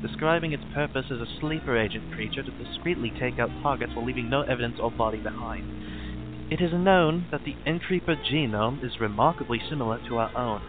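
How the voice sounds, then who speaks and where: Guy narrating like an old nature doc, formal and clinical, muffled lo-fi sound with music behind. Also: medium pitch. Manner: formal, measured, clinical delivery. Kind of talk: scripted mock-documentary narration. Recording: band-limited lo-fi audio with background music.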